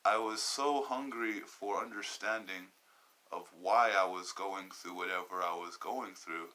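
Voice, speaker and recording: A man in his twenties from Southern California, hosting a personal podcast monologue, halting and wandering as he searches for words. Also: low-pitched, sharp tone, poor audio. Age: 20s. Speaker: podcast host.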